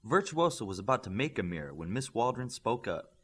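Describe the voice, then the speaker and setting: A man's voice: on the low side, sing-song, brisk, husky. A man reading scripted story narration as an audiobook narrator.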